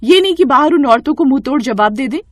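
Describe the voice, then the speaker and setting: Woman acting an outspoken young sister-in-law, high-pitched. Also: lively intonation, no room sound, studio quality. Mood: protective scolding. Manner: brisk, scolding, emphatic.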